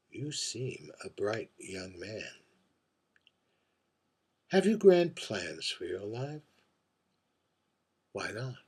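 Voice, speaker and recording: A man playing a world-weary, wry drag performer in a theatrical monologue, confiding and deliberate, moving between hushed murmurs and emphatic phrases. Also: lowish voice, breathy edge, exaggerated voice. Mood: wistful, flirtatious. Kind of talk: theatrical dramatic monologue read by its author.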